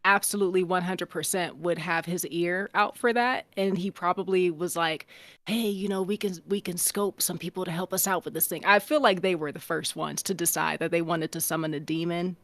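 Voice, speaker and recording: A woman's voice: on the low side, fairly even intonation, a little fast, fairly bright tone, no echo. A woman chatting casually in a group as a panelist, with a playful, giggly manner.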